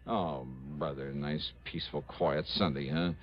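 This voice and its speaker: An actor playing a harried, wry insurance investigator in a radio drama, stammering protests and muttering wearily. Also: male voice, a bass voice, fairly even intonation, a little slow. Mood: weary muttering. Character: harried, exasperated male insurance investigator, wry and soft-hearted. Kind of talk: 1960s radio drama acting. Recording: dull, hissy old radio broadcast.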